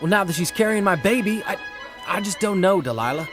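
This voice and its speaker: An actor playing a defiant, sardonic lover in an audio soap opera. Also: male voice, sits high, lively intonation, brisk, music in the background. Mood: sardonic, bitter.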